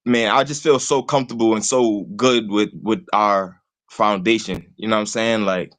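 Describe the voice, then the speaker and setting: A man's voice: lowish voice, fairly even intonation, moderate pace, fairly bright tone. A man, talking sports casually and rambling, leaning on "you know what I'm saying" all the time. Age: 20s. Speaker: call-in guest host.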